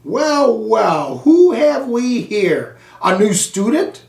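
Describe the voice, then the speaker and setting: Jovial storybook cleaning man read by a male narrator, chatty, folksy and animated, in a roomy echo. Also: high-pitched, slow, creaky, heavily acted. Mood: booming, welcoming. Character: friendly, jovial male night janitor with a mustache. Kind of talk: children's storybook character voice. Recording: roomy echo, distant microphone.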